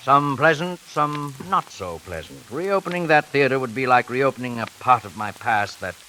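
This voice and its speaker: An actor playing a reserved theater producer who speaks in a measured, hesitant, polished way, in 1940s radio acting. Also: male voice, medium pitch, animated, pushed and bright, a little hiss or hum, rough recording. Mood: guarded. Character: reserved older male theater producer, evasive. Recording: vintage 1940s radio broadcast with music.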